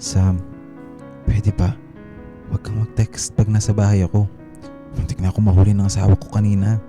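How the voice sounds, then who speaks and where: A man's voice: lowish voice, level tone, moderate pace, lightly acted. An actor playing an evasive, short-tempered pilot husband in his thirties in a radio drama. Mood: secretive. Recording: music bed behind the voice.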